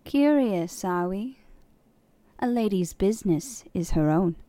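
Woman doing a cool haughty noble lady npc, formal and guarded, unhurried, dry sound. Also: medium pitch, expressive, mellow, no room sound. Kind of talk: fantasy game character lines.

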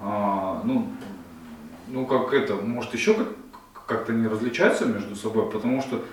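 A man's voice: fairly low pitch, lively intonation, normal speed, slight background noise. A man in the audience asking questions and responding hesitantly, with many fillers. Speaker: audience member. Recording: echoey room, voice from far off.

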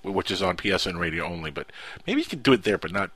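A man with a Cuban accent hosting a casual radio talk show, animated and quick to raise his voice. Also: low voice, slightly rough, no echo. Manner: animated, quick to jump to loud outbursts.

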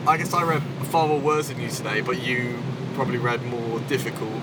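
A man's voice: mid-range voice, fairly even intonation, fairly quick. A man from England's West Midlands with a West Midlands accent, co-hosting a casual chat and rambling with dry humour. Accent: West Midlands English. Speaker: podcast co-host. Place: inside a moving car. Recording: distant mic in a noisy car.

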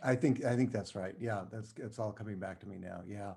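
A man's voice: lowish voice, brisk, vocal fry, warm tone, husky. A man speaking casually as an interview guest, hesitating and searching for his words.

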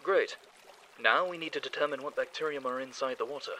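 An actor in an audio drama playing a brisk, testy senior field scientist with a formal manner. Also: male voice, mid-range voice, noisy background, natural voice.

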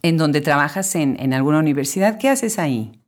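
A woman's voice: sits low, expressive, brisk. A woman hosting a podcast interview, reading a formal introduction and then chatting in a friendly way. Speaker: podcast host.

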